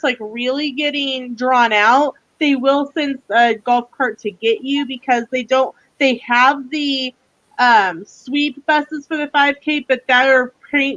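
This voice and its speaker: High-voiced Southern US woman, dull lo-fi audio. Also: fairly bright tone, dry recording. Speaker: livestream co-host. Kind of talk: casual livestream chat.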